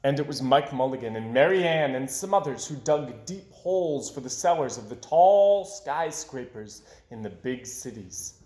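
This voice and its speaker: A man reading a children's story aloud expressively, with dramatic delivery that drops to hushed phrase endings. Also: mid-range voice, lively intonation, moderate pace, few pauses. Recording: echoey room.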